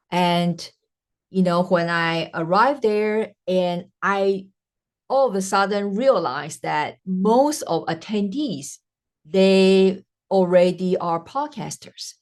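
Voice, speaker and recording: Slow-paced Taiwanese-accented woman host. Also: medium pitch, lively intonation. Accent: Taiwanese Mandarin. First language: Mandarin. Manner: earnest, enthusiastic, with frequent "uh" pauses. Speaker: podcast host. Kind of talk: personal storytelling monologue.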